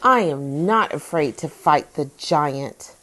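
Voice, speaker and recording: A woman's voice: low-pitched, lively intonation, slow pace, bright, forceful tone, voice pushed down, heavily acted, poor audio. A woman voicing a brave, faithful young boy hero in a children's storybook, declaring himself boldly. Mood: fearless, faithful. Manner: bold, declaring, unafraid.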